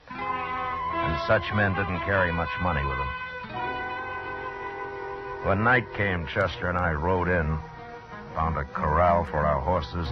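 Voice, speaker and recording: A dull old radio recording carries a stern American western lawman. His voice sits very low, and he speaks in dry, terse, deliberate lines that never flinch. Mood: sombre narration. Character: stern, unflinching frontier US marshal in a radio western. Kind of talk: old-time radio western acting and narration.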